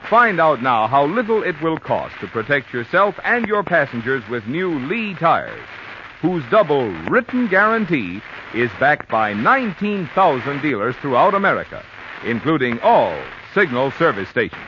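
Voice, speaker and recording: A male commercial announcer delivers an emphatic, scripted vintage radio pitch. His voice is somewhat high and very animated. The aged recording is dull and clearly noisy. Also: moderate pace. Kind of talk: 1940s radio advertising read, scripted.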